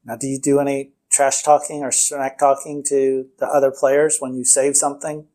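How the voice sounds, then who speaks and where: A man hosting a podcast, asking short relaxed questions in casual interview chat. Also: mid-range voice, moderate pace. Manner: short relaxed questions and frequent backchannel replies. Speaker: podcast host.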